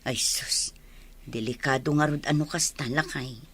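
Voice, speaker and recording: A woman's voice: very deep voice, steady tone, moderate pace, a bit bright, slightly rough, heavily acted. A kind village neighbor lady in a radio drama, concerned about folks. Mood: sympathetic. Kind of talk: Filipino radio drama acting.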